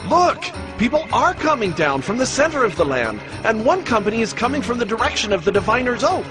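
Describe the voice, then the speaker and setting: An actor playing a brash, boastful rebel upstart in a dramatized scripture audio drama, with a taunting, declamatory delivery. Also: male voice, very high-pitched, big pitch swings, a little fast, music in the background. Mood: alarmed. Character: Gaal, brash male rebel upstart in biblical times.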